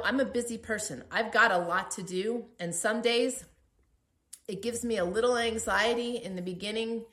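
A woman podcast host shares personal faith encouragement. Her delivery is earnest and emphatic, leaning on repeated words for weight. Her medium-pitched voice rings with noticeable room echo. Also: pushed and bright. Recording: roomy, echoey sound.

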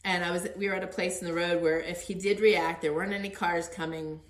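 A middle-aged woman with a somewhat low voice hosts a casual conversation. She talks in an encouraging way, and the room adds a clear echo around her words. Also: steady tone, quick pace. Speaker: podcast host interviewing her son.

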